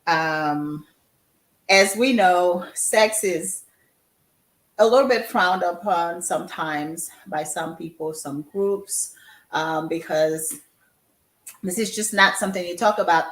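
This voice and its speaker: A woman hosting a chat stream, introducing guests and the topic in a measured way. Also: fairly low pitch, echoey room. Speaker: host.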